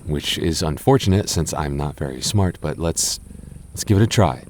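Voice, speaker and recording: A man voicing a gentle, shy goth boyfriend in an ASMR roleplay, soft-spoken and stammering as he reassures his listener. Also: rumbling low, expressive, normal speed, a little hiss or hum. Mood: reassuring. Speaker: voice actor.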